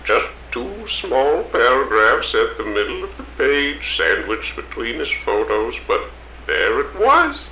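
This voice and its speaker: Halting, nostalgic old man. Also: medium pitch, huge pitch swings, bright, forceful tone, reverberant, muffled recording, slight background noise, heavily acted. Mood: wistful. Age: older. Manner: halting, rambling, stammering. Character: nostalgic old small-town man reminiscing fondly.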